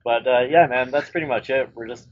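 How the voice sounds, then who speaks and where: A man's voice: mid-range voice, brisk, dull audio, a little hiss or hum. A man, a band member guest, talking in a laid-back, rambling way and swearing casually. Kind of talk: casual chat.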